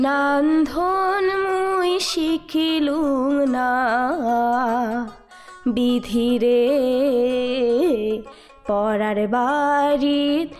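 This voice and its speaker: A very high, drawn-out voice of a shy young village bride, played by a woman, singing a plaintive folk lament in a rural radio play. Her lines stretch out unhurried over soft music behind her. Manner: sings slow plaintive folk song. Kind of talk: rural audio drama acting, folk song.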